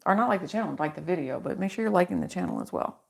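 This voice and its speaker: A woman with a naturally low, deeper-set voice hosts a casual livestream card reading. She talks in a chatty, reassuring way, stringing affirmations together into her microphone. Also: lively intonation, very fast, vocal fry. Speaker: tarot reader and channel host.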